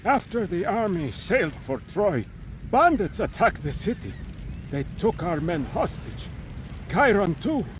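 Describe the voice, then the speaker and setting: High, excitable old fool. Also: male voice, unhurried, slight background noise. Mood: relieved, excitable. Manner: frantic, excitable. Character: panicky, excitable foolish old townsman. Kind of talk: video game cutscene acting.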